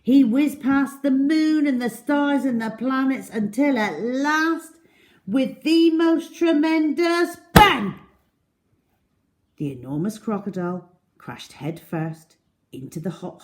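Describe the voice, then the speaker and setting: A woman telling a children's story in an expressive, deliberate way with dramatic emphasis. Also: on the high side.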